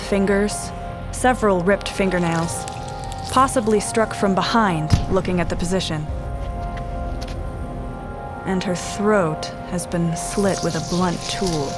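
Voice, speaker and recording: An actress playing a sharp, composed special agent in an audio drama, speaking in terse, clinical fragments like a profiler examining a scene. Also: female voice, medium pitch, lightly acted. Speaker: investigator. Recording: music behind the dialogue.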